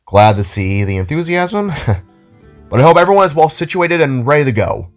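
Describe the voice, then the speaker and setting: A man's voice: on the high side, very animated, normal speed, faint music behind, voice pushed up. A man voicing an older, kindly and enthusiastic robot teacher with a theatrical, explanatory way of talking. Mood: playful, eager. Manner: showy, explanatory.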